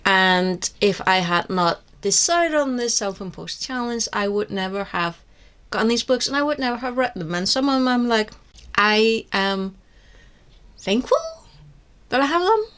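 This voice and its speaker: A woman's voice: medium pitch, animated, moderate pace, fairly bright tone, clear, resonant tone. A woman from Iceland with an Icelandic accent, whose first language is Icelandic, candidly reviewing books on her vlog in a wry, rambling way with many restarts. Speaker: book vlogger. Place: seated indoors with books on her lap.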